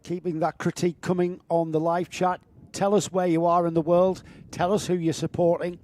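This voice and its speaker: A man's voice: high-pitched, level tone, normal speed, darkish tone. A man hosting a live karting stream, chatting with co-commentators and reading out viewers' names. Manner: chatty, jokey, reads out chat names. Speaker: race commentator and stream host. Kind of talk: live motorsport commentary and chat.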